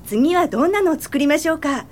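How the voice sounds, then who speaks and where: An actress playing a cheerful, eager young woman puppeteer in a radio drama, with a lively, cute manner. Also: sits high, fast talker, a little hiss or hum.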